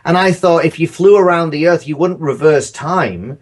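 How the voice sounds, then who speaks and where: A man's voice: fairly high pitch, moderate pace. Thoughtful man in casual podcast discussion. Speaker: podcast guest, audio drama writer.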